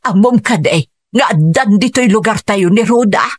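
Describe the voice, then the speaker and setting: A woman's voice: mid-range voice, animated, moderate pace, no room sound, clean studio sound, natural voice. An actress with a Filipino Ilocano accent playing an earnest woman in a rural family radio drama. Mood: concerned, probing.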